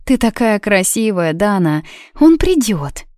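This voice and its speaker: A woman's voice: mid-range voice, sing-song, unhurried, a little mellow, dry recording, clean studio sound, pitched above the performer's own voice. A woman voicing a kind young woman in an audiobook, a caring friend who speaks soothingly and reassuringly. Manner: soothing, coaxing.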